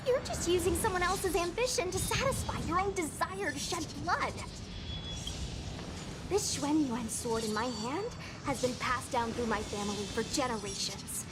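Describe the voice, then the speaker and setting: A woman's voice: high voice, animated, normal speed. An actress playing a brave, stubborn young woman swordfighter in a video game, earnest and defiant. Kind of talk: animated video game acting. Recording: loud music behind the voice.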